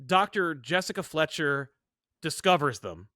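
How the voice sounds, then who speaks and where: Man, forceful bright voice, dry close recording, animated podcast co-host, casual geeky chat. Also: fairly high pitch, measured pace, no echo. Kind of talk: casual pop culture chat.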